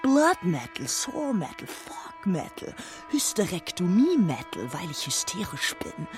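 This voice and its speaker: A woman playing a bitter, furious, anguished figure in an intense literary radio monologue, deliberate and insistently repetitive. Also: on the low side, big pitch swings, over music.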